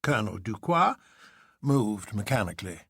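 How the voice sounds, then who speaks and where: A man with a gravelly, husky voice narrating a literary story in a dramatic style. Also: on the low side, sing-song, moderate pace, fairly bright tone. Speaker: audiobook narrator.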